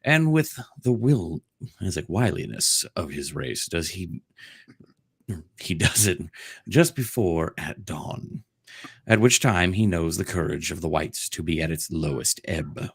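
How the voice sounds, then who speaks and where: A man's voice: low-pitched, expressive, measured pace, gravelly, breathy edge, dry recording, clean studio sound. A man hosting a comedic dramatic reading of a storybook, in a jokey manner with asides. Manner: jokey, breaking off for ad-libs and laughter. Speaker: host doing a dramatic reading.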